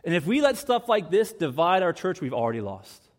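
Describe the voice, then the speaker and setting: A pastor preaching a sermon to his church. His voice sits on the higher side for a man, and he speaks earnestly, driving points home by repeating them. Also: very animated, a little fast. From United States. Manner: earnest, with emphatic repetition.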